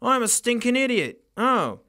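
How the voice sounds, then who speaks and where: Hoarse male reviewer; excitable and self-mocking, high, very animated delivery. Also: measured pace. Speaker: gadget reviewer host. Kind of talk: casual unboxing commentary.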